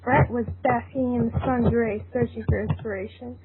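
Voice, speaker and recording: A woman's voice: fairly high pitch, steady tone, normal speed. A woman reading scripted narration for an amateur fan fiction audio drama. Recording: tinny phone-like audio, clearly noisy.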